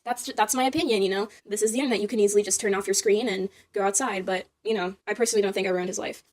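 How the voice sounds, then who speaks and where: A woman's voice: medium pitch, steady tone, rapid-fire. A woman talking casually and off the cuff, defending herself and justifying her own opinion. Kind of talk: casual unscripted opinion talk.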